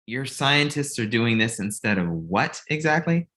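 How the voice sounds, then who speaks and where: A man's voice: medium pitch, big pitch swings, unhurried, bright, forceful tone. A man playing an earnest, exasperated male manager in a comedy table read, urgent and conversational. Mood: skeptical.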